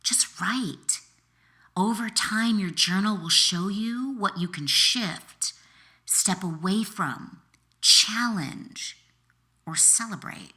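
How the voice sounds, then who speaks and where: A woman author reading her self-help book aloud as narration, speaking directly to the listener in deliberate, encouraging phrases with pauses. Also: lowish voice, pushed and bright. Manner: direct second-person address, encouraging. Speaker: author reading an excerpt from their own book.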